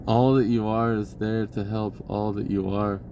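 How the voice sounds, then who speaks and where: A man giving an unhurried, reflective spiritual talk with many pauses, his voice lifting when he acts out an inner dialogue. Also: fairly low pitch, level tone, warm tone, a little hiss or hum. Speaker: spiritual video host.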